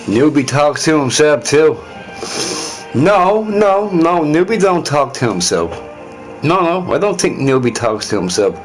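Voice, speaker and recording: A man's voice: a little high, sing-song, unhurried, faint music behind, rough recording. A man streaming on his own, chatting casually in a rambling, profane way and laughing often. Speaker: livestreamer. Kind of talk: rambling solo stream chat.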